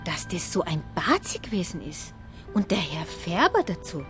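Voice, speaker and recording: A woman's voice: fairly low pitch, very animated, normal speed, a bit bright. An actress playing a chatty, credulous newspaper kiosk woman in a radio drama, speaking colloquial Bavarian. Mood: incredulous gossip. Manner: colloquial, gossipy. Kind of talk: naturalistic radio drama dialect dialogue. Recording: music behind the voice.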